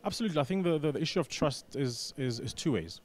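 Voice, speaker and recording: Man, halting, repeating for emphasis. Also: a little high, animated. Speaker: radio business manager, studio guest. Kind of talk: conversational interview answers.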